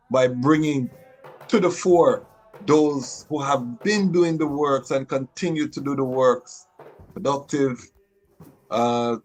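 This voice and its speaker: A man's voice: fairly high pitch, rough recording. A middle-aged man hosting a podcast interview, speaking with an emphatic rhythm and frequent restarts. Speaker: podcast host.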